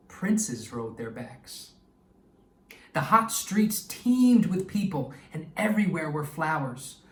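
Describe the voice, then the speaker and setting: A man voicing a kindly, good-humored old grandfather from a children's storybook, talking warmly to his grandchildren. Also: on the high side. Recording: echoey room, distant microphone.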